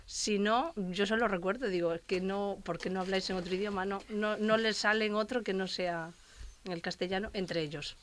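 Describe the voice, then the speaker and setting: A woman's voice: medium pitch, steady tone, moderate pace, slight background noise. A woman guest in a casual conversation, explaining at length.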